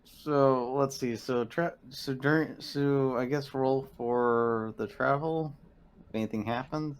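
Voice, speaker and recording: A man's voice: medium pitch, fairly even intonation, takes it slowly, noisy background. A man chatting casually as a player in a tabletop roleplaying game. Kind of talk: casual chat.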